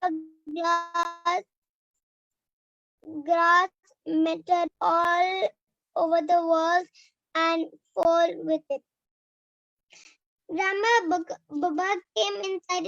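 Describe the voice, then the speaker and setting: Brief: young girl with an Indian English accent, very high voice with a gravelly edge, halting storybook reading. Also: a bit bright, dry recording, lo-fi sound. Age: child. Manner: slow, halting reading with restarts. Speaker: child storyteller. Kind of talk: reading a children's story aloud.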